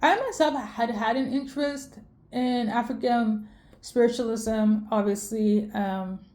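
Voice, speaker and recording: Mellow-voiced woman rambling through a reading. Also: on the high side, fairly even intonation, unhurried. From Sweden. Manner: rambling, many fillers and self-corrections. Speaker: tarot reader. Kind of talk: casual spiritual reading.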